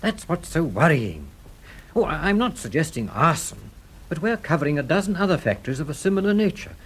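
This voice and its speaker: An actor playing an earnest, worried insurance man with a British accent and a husky voice in a radio drama. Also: fairly high pitch, big pitch swings, brisk, slight background noise. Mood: earnest, concerned.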